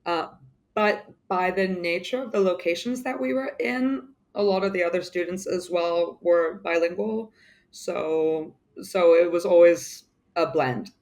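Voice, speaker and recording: Low-voiced woman musing with fillers. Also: unhurried, darkish tone. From mainly the UK. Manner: thoughtful, lots of "like" and "um" fillers. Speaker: interview guest. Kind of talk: casual conversational interview.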